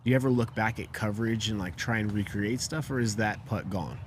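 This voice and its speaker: A man's voice: on the low side, brisk, a little hiss or hum. A man interviewing a player in casual chat, nodding along with frequent short "yeah"s and friendly questions. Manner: affirming, lots of short "yeah" backchannels.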